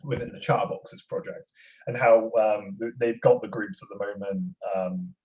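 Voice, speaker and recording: A man chatting casually as a podcast guest, speaking in a halting, rambling way. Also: on the low side, fairly even intonation, darkish tone, muffled recording.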